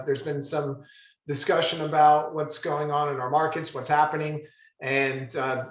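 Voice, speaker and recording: A man leading a real estate team meeting, speaking emphatically and restarting his phrases. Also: medium pitch. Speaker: real estate office leader. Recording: roomy, dull meeting-room sound.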